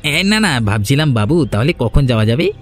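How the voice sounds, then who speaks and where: A man's voice: medium pitch, very animated, fairly quick, soft background music, natural voice. A man playing a sly, obsequious henchman of a village landlord in a cartoon, deferential and needling. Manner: deferential to his boss, needling. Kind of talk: Bengali cartoon voice acting.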